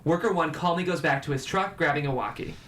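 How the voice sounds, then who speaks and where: A man with a fairly high voice hosts and narrates a screenplay reading. There is light room noise behind him as he presents the script. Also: fast talker, fairly bright tone. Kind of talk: show presenting and scripted stage-direction narration.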